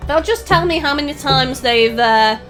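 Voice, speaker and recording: A woman's voice: sits high, moderate pace, a bit bright, faint music behind. A woman with a Northwest English Scouse accent touched by Welsh, chatting casually and reading out chat as a live gaming host in a raised, projecting voice. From Northwest England. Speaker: livestream host, gamer. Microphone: desktop USB microphone.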